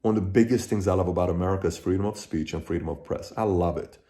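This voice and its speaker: A man's voice: low voice, quick pace, dark tone. A middle-aged man from Iran hosting his own channel, talking straight to his viewers in an emphatic way, working through his points as lists and often restarting. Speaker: channel host. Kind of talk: direct-to-camera commentary.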